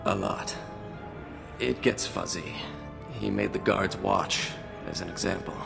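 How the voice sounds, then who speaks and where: A man voicing a weary, grateful alien freed from captivity in a video game, gravelly and subdued. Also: sits low, steady tone, unhurried, music in the background, heavily acted. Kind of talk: scripted video game voice acting.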